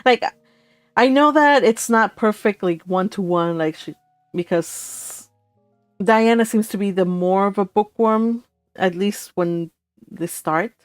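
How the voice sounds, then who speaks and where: A woman's voice: medium pitch, slow, clear, resonant tone, dry recording, soft background music. A woman chatting casually and giggly as host of a book club read-along. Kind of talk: casual chat.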